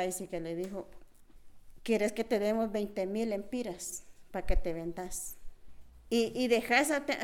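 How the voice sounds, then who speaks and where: A woman community leader with a rural Honduran accent gives oral testimony. Her pitch is average, and she tells her story colloquially, repeating herself and acting as messenger for others' words. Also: a little mellow. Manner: colloquial, repetitive, quotes reported dialogue.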